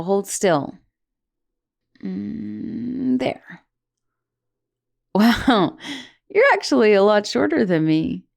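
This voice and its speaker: A young woman playing a guilty, caring giantess college student in an intimate ASMR roleplay, hesitant and stammering, with soft turns toward whispering. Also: fairly low pitch, vocal fry, heavily acted. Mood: apologetic, gentle. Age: young adult. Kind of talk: ASMR roleplay, intimate one-sided dialogue.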